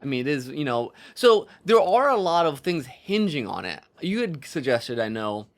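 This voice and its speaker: A man co-hosting a podcast, casually discussing films and storytelling as he thinks out loud. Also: fairly high pitch, very animated, normal speed. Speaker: podcast co-host.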